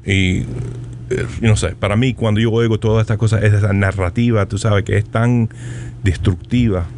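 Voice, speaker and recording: Older Cuban-American man, low voice. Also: steady tone, moderate pace, a little mellow, slight background noise. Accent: Cuban-American Spanish. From United States. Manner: rambling, repeats phrases, fillers like "tú sabes". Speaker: poet guest. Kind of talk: radio interview and poetry reading.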